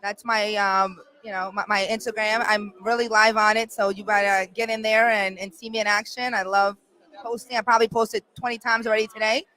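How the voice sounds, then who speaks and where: A woman hosting a casual podcast chat at a live event, animated and enthusiastic. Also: medium pitch, fairly quick, poor audio. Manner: animated, enthusiastic, talks with her hands. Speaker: podcast host.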